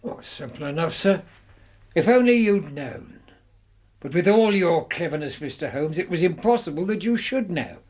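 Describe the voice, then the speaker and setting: A man's voice: fairly high pitch, animated, normal speed, a little mellow. A man playing a loyal elderly English college servant and former butler in a radio drama, deferential, earnest and emotional. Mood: confessing, emotional. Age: older. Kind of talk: British radio drama acting.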